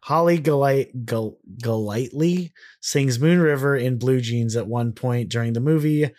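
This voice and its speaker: A man's voice: medium pitch, normal speed, darkish tone. A man co-hosting a podcast in a rambling, slangy, sweary way, moving between casual banter and reading aloud. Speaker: podcast co-host.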